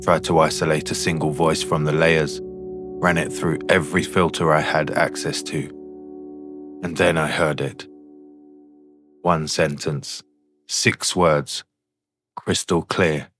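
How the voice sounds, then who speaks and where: A man playing a haunted signal technician, telling a horror story in the first person with deliberate, broken phrases. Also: rumbling low.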